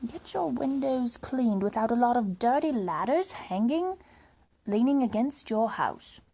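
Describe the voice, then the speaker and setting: A woman reading a children's story aloud expressively, with dramatic emphasis and suspenseful pauses. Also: on the high side, expressive, dark tone, a little hiss or hum.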